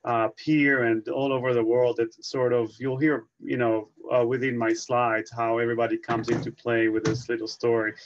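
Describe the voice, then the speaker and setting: A man from Israel in his thirties, a neurosurgery fellow giving a webinar talk with slides, halting with many restarts and fillers. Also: mid-range voice. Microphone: video call. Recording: slightly dull video call audio.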